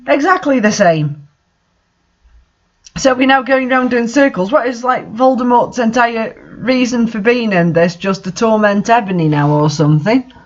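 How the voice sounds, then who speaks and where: Lo-fi recording, mid-pitched voice, exasperated amateur host, casual mocking commentary, incredulous, sweary. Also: normal speed. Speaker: host reading fan fiction aloud with commentary. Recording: dull lo-fi microphone sound.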